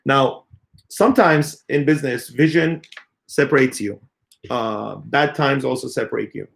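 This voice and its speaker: A man in his thirties, a company CEO, giving a halting, earnest unscripted answer full of filler sounds. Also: medium pitch, gravelly. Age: 30s. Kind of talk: unscripted spoken answer in an AMA.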